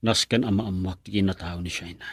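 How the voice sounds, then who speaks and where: An earnest young suitor. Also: male voice, sits low, steady tone, fast, breathy edge, no echo, studio quality. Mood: brooding. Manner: earnest, pleading with his mother. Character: young man chasing a mysterious bar girl. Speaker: actor. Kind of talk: radio drama acting.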